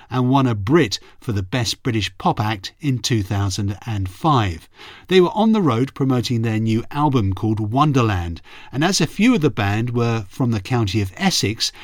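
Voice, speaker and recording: A middle-aged man with a croaky, hoarse voice, a radio reporter narrating his memories in a friendly, chatty way. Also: mid-range voice, dry recording, clean studio sound. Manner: friendly, chatty, self-deprecating. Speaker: radio reporter and podcast host. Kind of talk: reflective storytelling narration.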